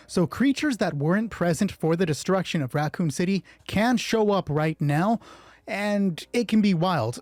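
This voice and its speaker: A man with a slightly high voice runs a solo tabletop roleplay as its game master. He shifts between clipped, scripted narration and loose, informal play commentary. The sound is dry and close, with music underneath. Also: darkish tone, dry recording. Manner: informal, swearing, thinking aloud during play. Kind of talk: hushed scripted story narration alternating with casual game commentary.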